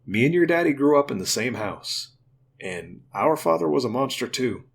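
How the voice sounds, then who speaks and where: A man playing a gruff but tender uncle who talks to his young nephew in an earnest, plainspoken, folksy way in an audio drama. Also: mid-range voice, animated, moderate pace, voice pushed up. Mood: earnest, emotional.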